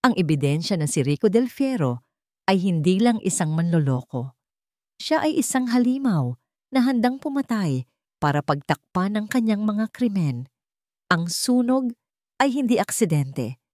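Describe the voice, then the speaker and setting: A woman narrating a scripted drama story, phrasing it dramatically and deliberately in short pieces. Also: lowish voice, sing-song, darkish tone, dry recording, studio quality.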